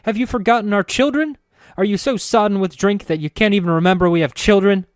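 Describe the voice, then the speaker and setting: A bubbly, eager-to-please young woman, played by a man reading a storybook, whose voice sits far above his usual one and rings out louder. Her lines leap up and down with breathless sweetness. The sound is dry and close. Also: fairly high pitch, very animated, brisk, no room sound, pitched above the performer's own voice. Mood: mock furious. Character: perky, sweet-natured young woman who hates being mean.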